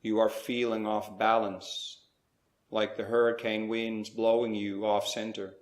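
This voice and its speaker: A man leading a slow guided spiritual meditation, speaking in measured short phrases with pauses between them. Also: on the low side, level tone, echoey room.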